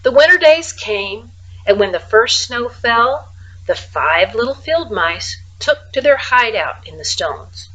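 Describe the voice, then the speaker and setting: A woman reading a children's storybook aloud like a teacher, expressively, with casual, hushed asides. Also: on the high side, lively intonation, slow, poor audio.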